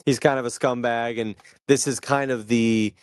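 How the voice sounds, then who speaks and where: A man's voice: medium pitch, fairly even intonation, moderate pace, clean tone, no room sound, studio quality. A man co-hosting a podcast in casual, conversational talk, swearing freely. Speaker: podcast co-host. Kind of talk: casual chat.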